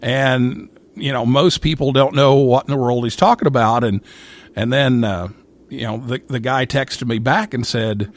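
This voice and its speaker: A man hosting a casual live talk show, speaking in a halting way and often repeating his words. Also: mid-range voice, steady tone. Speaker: radio show host.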